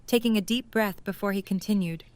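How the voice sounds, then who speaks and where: A woman's voice: mid-range voice, brisk, darkish tone, dry recording. A woman narrating a scripted story as the narrator of an audio drama.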